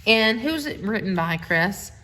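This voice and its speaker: A woman's voice: mid-range voice, lively intonation, measured pace, bright, forceful tone, low-quality recording. A middle-aged woman chats casually and effusively into a phone, rambling through asides as she introduces a bedtime story reading. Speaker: host of a family social media video. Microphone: handheld phone.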